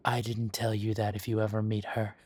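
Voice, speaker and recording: A man playing a genial, long-lived elven café owner who reminisces in an unhurried, chatty way, in a roleplay audio drama. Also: on the low side, completely flat delivery, darkish tone, slightly rough. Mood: genial, reminiscing. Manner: unhurried, musing, conversational with pauses.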